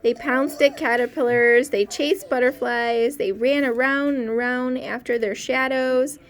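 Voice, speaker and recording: A woman teacher reading a storybook aloud to young children, expressive and pausing to ask questions. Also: high voice, faint music behind.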